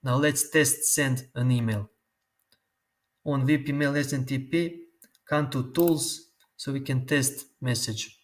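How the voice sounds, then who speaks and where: Man explaining software steps. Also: medium pitch, steady tone. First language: Croatian. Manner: slow, step-by-step instructions. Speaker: tutorial host. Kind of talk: screen-recorded software tutorial.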